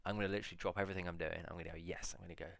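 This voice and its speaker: A man in a casual solo video, musing aloud as he reads out old titles slowly, with many pauses and fillers. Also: sits low, flat delivery, creaky, no echo. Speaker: video blogger.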